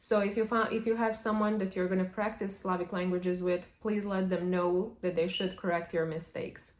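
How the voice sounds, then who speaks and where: A woman's voice: fairly low pitch, steady tone, moderate pace. Woman w/ giving language tips to camera, chatty, keeps saying however, echoey room. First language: Serbian. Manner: chatty, opens many sentences with "however". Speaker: language vlogger. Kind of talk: casual advice to camera.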